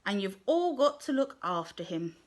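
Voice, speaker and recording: A woman voicing a kind, cheerful infant-school teacher who speaks in a bright, encouraging way. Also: mid-range voice, big pitch swings, moderate pace, close to an everyday voice. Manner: bright, encouraging, gently teasing.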